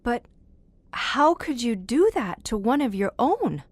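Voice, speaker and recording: A woman's voice: a little high, sing-song, slow, no room sound, heavily acted. An actress playing an inquisitive, educated woman visitor who questions formally in an audio horror drama. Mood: shocked. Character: inquisitive, educated woman visitor, composed but easily appalled.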